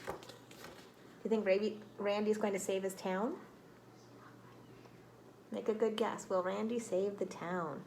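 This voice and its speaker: A woman with a medium-pitched voice reads a story aloud to children. She is expressive and theatrical, stopping to put questions to her young listeners. There is some noise behind her. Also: measured pace, creaky, pauses often. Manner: expressive, dramatic, with exclamations and prompting questions. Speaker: teacher reading aloud to children.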